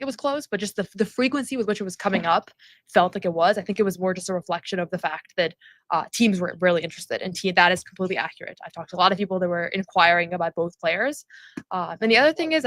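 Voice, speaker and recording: A woman's voice: mid-range voice, fast, a bit bright, no echo. Female host, casual postgame chat, rambly with lots of ums. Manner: chatty, rambling with frequent fillers. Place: arena concourse at a summer league event.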